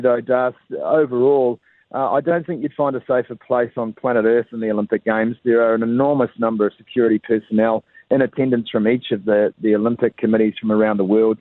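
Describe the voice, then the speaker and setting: A man's voice: fairly low pitch, no echo. Kiwi guy calling in on a phone line, chatty, lots of uhs, sounds tinny. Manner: conversational, frequent "uh" fillers. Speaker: reporter on the phone. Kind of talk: live phone cross to a radio show. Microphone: telephone.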